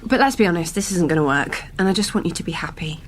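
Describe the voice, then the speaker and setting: Actress as a quick-talking, flustered British woman. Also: fairly low pitch, lively intonation, soft background music, lightly acted. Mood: tender, resigned. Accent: British English. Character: candid, kindly, flustered young British woman. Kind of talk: British radio sitcom acting.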